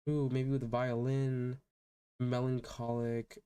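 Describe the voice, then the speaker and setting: A man chatting casually on a livestream, halting and self-conscious, often repeating his words. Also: mid-range voice, flat delivery, warm tone, smooth. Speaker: VTuber streamer practicing voice acting. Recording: background music under the voice.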